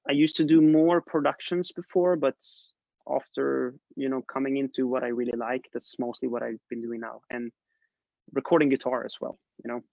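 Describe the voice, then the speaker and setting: A man's voice: medium pitch, moderate pace, creaky, no room sound. A young man from Sweden, a songwriter guest, chatting casually on a video call and laughing often. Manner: relaxed, laughs often, trailing fillers. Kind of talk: casual interview chat. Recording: dull lo-fi dry sound.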